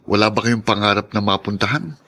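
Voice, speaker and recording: A man's voice: fairly low pitch, a little fast, vocal fry, sharp tone, heavily acted. A man playing a modest, cautious young Filipino student and caring grandson in a radio drama, earnest and a little doubtful. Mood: tender, earnest.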